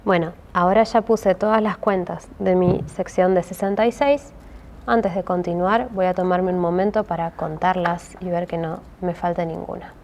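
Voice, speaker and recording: A woman's voice: on the low side, fairly even intonation, moderate pace, a little mellow, slight background noise. A woman with a Rioplatense Spanish accent teaching a step-by-step craft tutorial, patient and checking in often with her listeners. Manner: patient, with frequent "¿sí?" check-ins and many hesitations.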